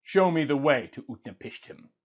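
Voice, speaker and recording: A man's voice: medium pitch, animated, normal speed, lo-fi sound, lightly acted. A man voicing an anguished, proud wandering king in an impassioned lament, as part of a storytelling reading. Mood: proud, urgent.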